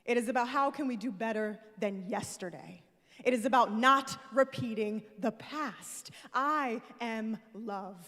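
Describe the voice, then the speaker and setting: High woman, spoken word poet, rhythmic and declamatory, large reverberant hall. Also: unhurried. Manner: rhythmic, declamatory, rising to shouts with call and response. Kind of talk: spoken word poetry performance.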